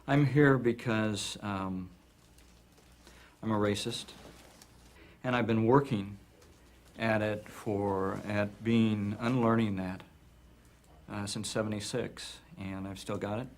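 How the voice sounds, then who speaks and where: A man speaking in a group discussion, slow, hesitant and confessional. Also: lowish voice, fairly even intonation, slight background noise.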